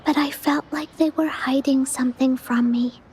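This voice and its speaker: A woman voicing a timid, wistful young child in an anime-style video game, speaking softly and hesitantly. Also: sits high, steady tone, moderate pace, slight background noise, natural voice. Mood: wistful, sad.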